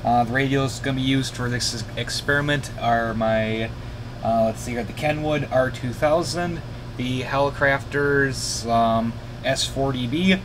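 A man casually demonstrating his radio gear unscripted, haltingly searching for his words. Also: mid-range voice. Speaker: hobbyist demonstrating shortwave radios. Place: indoor home radio room. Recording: noisy room with air conditioner running. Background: air conditioner hum.

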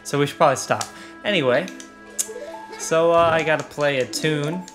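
A man's voice: a little high, moderate pace. A man playing a video game as co-host, chatting in a casual, offhand, sweary way. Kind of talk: casual let's play banter. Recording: game music behind the voice. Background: video game music.

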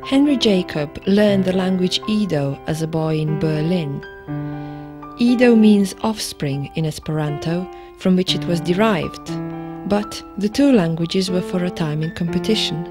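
A woman giving measured, scripted documentary narration. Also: fairly low pitch, music in the background.

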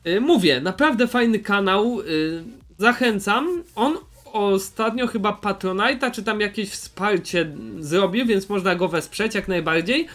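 A young man with a noticeably high voice talks as a YouTuber making recommendations. His delivery is casual and unscripted. He hesitates, rambles and often circles back to repeat what he has said. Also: fairly bright tone. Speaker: YouTuber presenting recommended channels.

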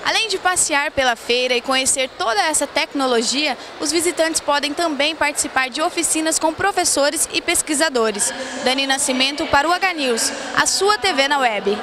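A woman's voice: high-pitched, normal speed. A woman in her twenties with a Brazilian accent, reporting and interviewing on a handheld microphone at an indoor fair. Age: 20s. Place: large indoor gymnasium fair. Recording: busy fair noise behind.

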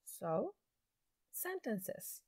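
A woman teaching an English lesson to secondary students, speaking slowly and deliberately with an encouraging classroom manner. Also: mid-range voice, sing-song, a little mellow, breathy edge, dry recording. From Chile. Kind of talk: slow recorded classroom lesson.

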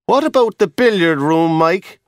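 Man doing an easygoing policeman, confident, raised voice, lively. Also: a little high, slow, dry recording, clean studio sound, voice pushed up. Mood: breezy. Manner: confident, breezy.

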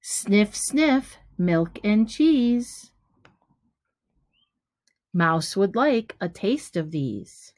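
A middle-aged woman reading a children's picture book aloud, deliberately, pausing between phrases. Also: medium pitch, big pitch swings, darkish tone. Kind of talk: children's storybook read-aloud. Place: small room at home, indoors. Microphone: webcam or device at arm's length.

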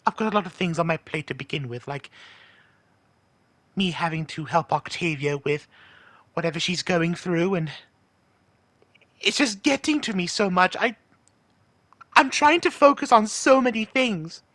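A man voicing a doting, burdened owl demon prince, earnest and halting, in a cartoon-style monologue. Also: sits high, lively intonation, fairly bright tone, no room sound, pitched above the performer's own voice. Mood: anguished, pleading.

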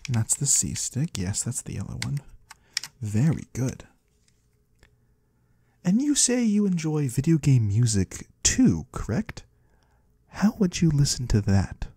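A man playing a mysterious, courteous disembodied trader of the void in a soft-spoken ASMR roleplay, husky and breathy, slow and gently curious. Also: mid-range voice, big pitch swings, no room sound, full character voice. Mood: curious, pleased. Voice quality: husky, breathy, sometimes whispering. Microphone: close, dry microphone.

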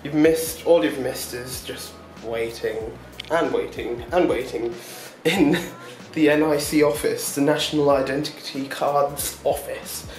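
A man's voice: medium pitch, steady tone, a little slow, few pauses, roomy sound, far from the mic, rough recording. A man talking casually to his vlog audience, rambling and chatty with lots of ums. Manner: rambling, chatty, with many fillers and short laughs. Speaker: vlogger. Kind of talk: casual daily vlog chat.

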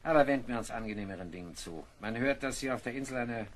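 An actor playing a seasoned, knowledgeable and wry naval officer in an audio drama. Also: male voice, medium pitch, animated, fast talker, a little hiss or hum, close to an everyday voice. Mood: confiding.

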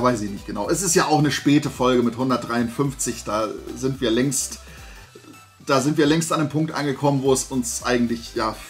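A middle-aged man chatting casually to camera as a collector presenting his finds, rambling and often interrupting himself. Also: medium pitch. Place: indoor room lined with shelves of collectibles.

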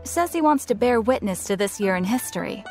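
A woman's voice: medium pitch, expressive, a little fast, darkish tone, soft background music, lightly acted. A woman playing a disgruntled, put-upon shopkeeper in a video game. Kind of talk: video game character acting.

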